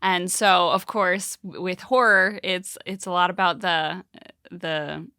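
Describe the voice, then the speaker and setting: Lower-pitched woman co-host. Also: fairly even intonation, slow, pushed and bright, smooth, no room sound, clean studio sound. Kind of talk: casual interview chat.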